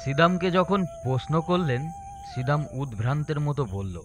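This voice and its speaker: A man's voice: on the high side, normal speed, background noise. A man narrating a scripted dramatic story as a storyteller. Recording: faint music behind.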